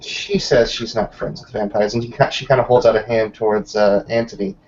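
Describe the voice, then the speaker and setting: A man's voice: on the low side, level tone, a little fast, a little mellow, a little hiss or hum. A man in a tabletop roleplaying game, talking casually in character and narrating actions, on a dull recording.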